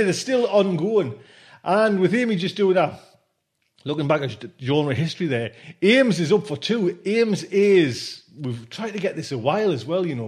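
A man's voice: a little high, a little mellow. A man hosting a podcast in a casual, rambling, informal way, dropping in conversational tag phrases. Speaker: podcast host.

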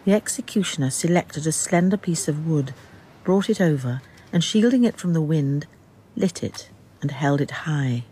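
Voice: mid-range voice, lively intonation, moderate pace, dark tone, breathy edge. A narrator telling a radio drama in scripted, dramatic storytelling style.

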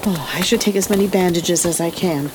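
A woman playing a gentle, resolute middle-aged mother in an audio drama, tender and composed. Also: on the low side, a little fast, slight background noise, lightly acted, rough recording. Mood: brisk, composed.

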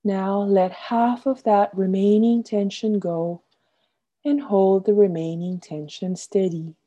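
Female meditation guide, extremely soft dark tone, unhurried delivery, soothing and gentle, phrases trailing off softly. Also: mid-range voice, steady tone, highs cut off. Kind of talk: guided relaxation meditation, scripted instruction.

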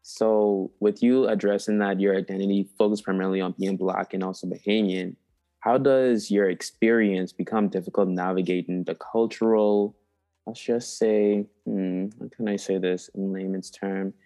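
A young man hosting a casual interview podcast, animated and playful, laughing often and drawing out names for fun. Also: sits low, moderate pace. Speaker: podcast host.

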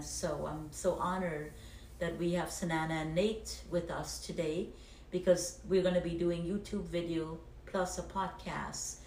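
A middle-aged woman, sharing her background as a guest in a measured way. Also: on the low side, darkish tone, distant mic, slight background noise. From Guyana. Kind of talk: personal faith testimony. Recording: echoey room, off-mic.

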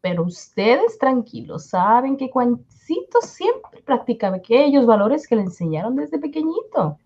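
A woman acts a supportive, troubled Peruvian mother in a radio drama, on a slightly muffled recording. Her voice is high and very animated, with expressive sighs. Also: unhurried, slight background noise. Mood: confiding. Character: Esperanza, troubled but supportive neighbourhood friend and mother. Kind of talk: amateur Spanish-language radio drama acting.

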